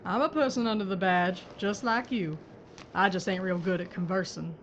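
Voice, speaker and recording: A woman's voice: medium pitch, moderate pace, muffled recording, a little hiss or hum, close to an everyday voice. A woman playing a blunt sheriff. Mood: steely. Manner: terse, dry, gritty. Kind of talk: audio drama acting.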